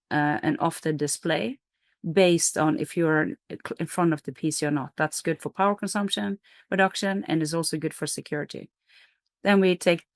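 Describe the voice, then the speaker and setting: A woman's voice: low voice, no echo, studio quality. A middle-aged woman from Norway, a company CEO, explaining her business in an interview with energy, fillers and restarts.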